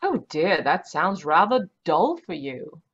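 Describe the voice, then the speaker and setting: Woman playing a lively, swooping Victorian wife, muffled. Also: on the low side, slow pace, slightly rough, heavily acted. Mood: chatty, polite. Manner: polite, fond, proper turns of phrase. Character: genteel, affectionate but gently chiding Victorian doctor's wife. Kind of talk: old-time radio mystery acting. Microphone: video call. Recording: dull, muffled video-call audio.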